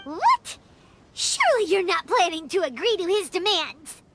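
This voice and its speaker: A woman voicing a tiny, excitable fairy companion in a video game, with a high, squeaky, bouncy delivery. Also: measured pace, heavy background noise, exaggerated voice. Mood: alarmed. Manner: excitable, exclaiming. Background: game music.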